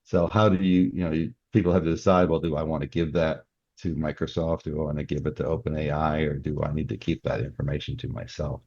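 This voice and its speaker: A man's voice: extremely low pitch, level tone, fast, a little mellow, dry recording, muffled recording. A man talking casually as a podcast guest, explaining his views earnestly with examples. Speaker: security company worker.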